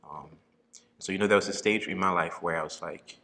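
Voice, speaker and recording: Young man, deep and bright, reflective guest, pauses with ums, room echo. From Liberia. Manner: thoughtful, pauses with ums, quick to laugh. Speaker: podcast guest. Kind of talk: faith testimony in casual interview.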